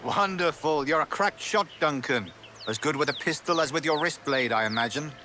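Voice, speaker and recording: An actor playing a genteel, cordial English sea captain in a video game, with an English accent and a polished, hearty manner. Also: male voice, fairly high pitch, lively intonation, moderate pace, background noise, close to an everyday voice. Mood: cordial, encouraging. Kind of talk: video game cutscene acting.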